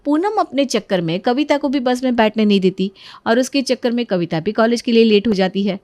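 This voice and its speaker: A woman's voice: on the high side, animated, brisk, a little mellow, no echo. A woman narrating a scripted story.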